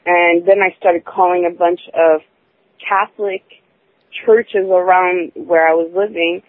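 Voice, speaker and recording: A woman in her twenties calling in to a talk show. She tells her story haltingly, restarting and correcting herself often. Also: on the low side, steady tone. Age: 20s. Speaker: caller. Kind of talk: personal account on a call-in show. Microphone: phone. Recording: tinny phone line.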